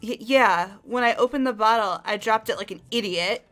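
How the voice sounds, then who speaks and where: A flustered young woman suspect, played by a woman in a fan-voiced game drama, stammering and defensive. Her voice is bright and sharp, pitched a little high. Light music plays behind her. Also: moderate pace, natural voice. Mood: nervous.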